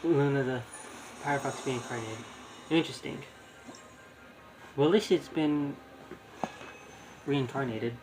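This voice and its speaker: A man's voice: mid-range voice, measured pace, long pauses, poor audio. Man rambling through live game commentary, music behind. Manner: rambling, thinking aloud. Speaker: game streamer. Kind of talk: casual live gameplay commentary.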